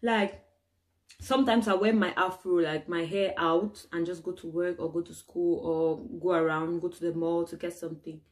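A young woman from Cameroon telling her own stories to the camera, animated and exclaiming, acting out the people she met. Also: on the low side, normal speed. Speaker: vlogger. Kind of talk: casual personal storytelling to camera.

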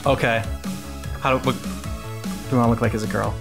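A man's voice: mid-range voice, steady tone, moderate pace, vocal fry. A man in his thirties chatting casually as he reacts to a game, amused and dry. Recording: game music under the voice.

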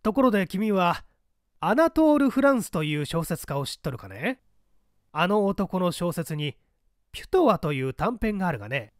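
A hearty, pompous older official, acted by a male narrator, booms with amused scorn in an audiobook. The delivery swings widely in pitch and sits high for a man, in a dry, close recording. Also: big pitch swings, moderate pace, no echo. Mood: teasing, patronizing. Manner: grand, patronizing, booming. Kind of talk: audiobook character acting.

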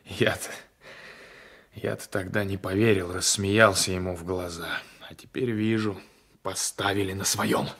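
An actor playing a brooding, passionate gendarme and former lover with a menacing streak, in a radio drama. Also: male voice, sits low, fairly even intonation, unhurried, a bit bright, husky, full character voice. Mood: bitter, passionate. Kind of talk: Soviet 1980s radio drama acting.

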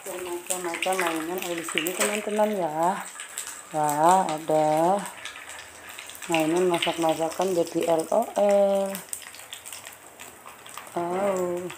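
A woman's voice: on the low side, fairly even intonation, takes it slowly, long pauses, background noise. A woman vlogging a walk through a toy stall, filming on a handheld phone and exclaiming over each toy to her viewers. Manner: enthusiastic, repetitive exclamations addressing friends. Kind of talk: toy shop walkthrough vlog. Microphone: handheld phone camera.

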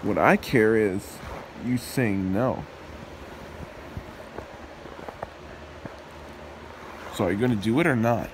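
A man voicing a possessive, taunting demon husband in an ASMR roleplay, with a mocking manner and a gravelly voice. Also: on the low side, moderate pace, noisy background, close to an everyday voice. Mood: coaxing, cocky.

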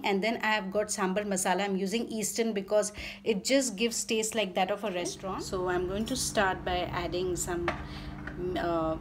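A woman's voice: mid-range voice, noisy background. A woman with an Indian accent giving casual step-by-step recipe narration as a cooking channel host.

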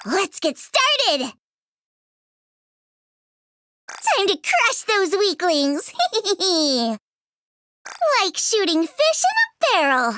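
A cocky young android shield girl in a game, who taunts and teases everyone she meets. Her very high voice is bright and swoops wildly between notes. She draws out her lines slowly and smugly. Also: wildly swooping pitch, slight background noise, full character voice. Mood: battle taunts. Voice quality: bratty, taunting, teasing laughs. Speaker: voice actress. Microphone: studio mic.

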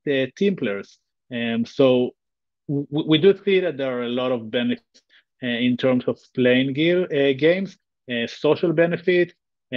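An Israeli-accented man in his thirties talks earnestly from a bright home room over a video call, stumbling into restarts and fillers. The audio is dry and a little dull. His pitch sits mid-range. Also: dry recording. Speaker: guest, tech company founder. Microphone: video call webcam.

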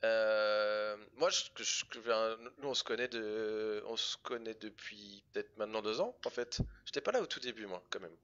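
A man's voice: fairly low pitch, pushed and bright. Informal male host on a dull video call. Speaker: live stream host, interviewer. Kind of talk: casual chat interview. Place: indoor room, video call.

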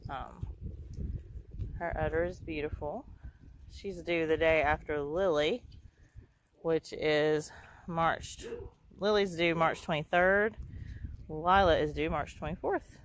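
A goat breeder gives a casual, chatty farm tour, laughing softly and talking to her goats as she walks around an outdoor pen. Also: low voice, slow, long pauses, slight background noise. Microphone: handheld camera.

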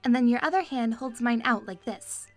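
An actress playing a kind young woman, gentle and reassuring, in an audio drama. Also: a little high, moderate pace, soft background music, lightly acted. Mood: playful, encouraging. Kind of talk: amateur audio drama acting.

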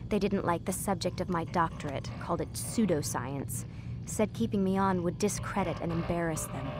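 An actress plays a young American woman, a scientist in a video game. Her voice sits fairly low. She sounds earnest and worn down, speaking with guarded restraint. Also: moderate pace, over music, close to an everyday voice. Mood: reflective, earnest. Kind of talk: cinematic video game acting.

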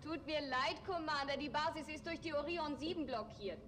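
A cool space base controller in vintage science fiction TV. Her voice is high and official, with clear background noise around it. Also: normal speed, a bit bright. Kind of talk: 1960s German TV science fiction acting. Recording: noisy 1960s TV soundtrack.

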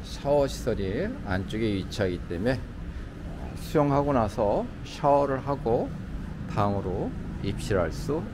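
A man's voice: mid-range voice, animated, measured pace. Man touring an outdoor hotel pool. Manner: conversational, frequent "자" and "뭐" fillers. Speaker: travel vlogger touring a hotel. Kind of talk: casual walking tour narration. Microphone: handheld camera mic. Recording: outdoor camera audio with traffic noise. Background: city traffic ambience.

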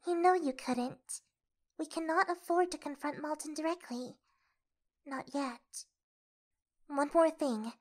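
A woman playing a composed, secretive young princess in a comic dub, speaking softly and formally. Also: high-pitched. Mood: composed, guarded. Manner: soft, measured, formal. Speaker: voice actor in a fan comic dub. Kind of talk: dramatic comic dub acting.

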